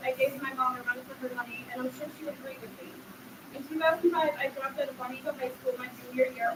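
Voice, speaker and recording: A woman in her thirties reading a sincere, emotional graduation speech from a podium. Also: sits high. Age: 30s. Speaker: graduating adult student giving a speech. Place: large echoey hall at a graduation ceremony. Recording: echoey hall, distant mic, faint noise.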